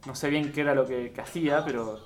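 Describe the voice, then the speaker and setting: A man with an Argentine accent talks informally on a radio panel. His voice is mid-pitched and he gropes for words, with light music behind him. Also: fast. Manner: hesitant, searching for words.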